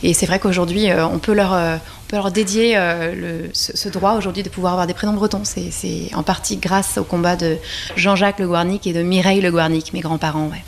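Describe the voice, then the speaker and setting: A woman in her forties from Brittany answers interview questions in a slightly low voice. She speaks casually and hesitantly, with frequent pauses and restarts. Faint background noise sits behind her. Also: steady tone, gravelly. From Brittany, France. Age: 40s. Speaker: singer, interview guest.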